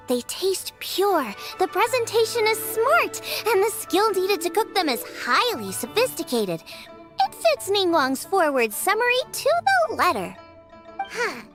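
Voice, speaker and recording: A woman voicing a tiny, excitable girl companion in a video game, who talks about herself in the third person. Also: very high-pitched, exaggerated voice.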